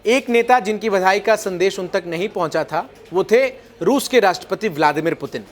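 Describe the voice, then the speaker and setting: Male news presenter, high-pitched voice, emphatic delivery, explainer-style commentary. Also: moderate pace.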